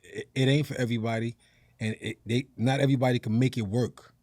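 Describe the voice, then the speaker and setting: American male comedian, mid pitch, dry sound, slangy conversational phrasing, casual interview answers. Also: steady tone, fast talker, dry recording. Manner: slangy, conversational, thinks out loud. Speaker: stand-up comedian, podcast guest.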